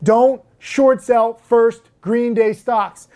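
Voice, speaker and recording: A man who teaches stock trading, talking casually to camera in a brash, emphatic way that turns loud and worked up, with crude jokes and lots of "um" and "like". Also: very high voice, fairly even intonation, extremely slow.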